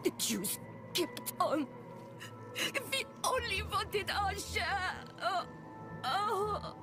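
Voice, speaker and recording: A woman's voice: very high voice, expressive, very slow, exaggerated voice. A woman playing a desperate, grieving wife in a video game, anguished and pleading. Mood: anguished, weeping. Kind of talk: video game character acting. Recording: music behind the voice.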